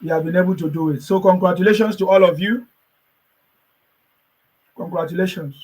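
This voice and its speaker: A man's voice: sits high, fairly quick, darkish tone, rough recording. A man with a voice worn from chanting, joyfully addressing his followers and urging them on with repeated phrases. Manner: joyful, repetitive, exhorting. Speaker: political supporter addressing followers. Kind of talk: excited celebratory address.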